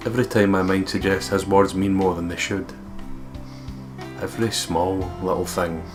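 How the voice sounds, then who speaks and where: A man's voice: sits low, little pitch movement, normal speed. A man reading visual novel narration aloud as a let's play host. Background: game music with guitar.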